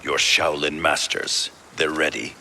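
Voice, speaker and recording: An actor playing a gruff, wary warrior commander in a video game, stern and suspicious, with a gravelly voice. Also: male voice, extremely low pitch, level tone, measured pace, very noisy. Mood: grim. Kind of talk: dramatic video game acting. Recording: music behind the dialogue.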